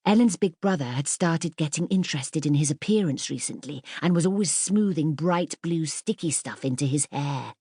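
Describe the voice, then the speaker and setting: Expressive woman narrator, slightly low, dry sound. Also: normal speed, few pauses, slightly rough, dry recording. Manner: expressive storytelling. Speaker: audiobook narrator. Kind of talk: scripted children's story narration.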